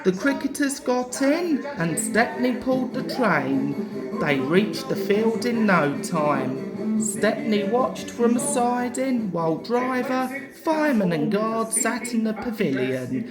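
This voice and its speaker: A woman reading a children's story as an expressive narrator. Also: on the high side, slow pace. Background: music.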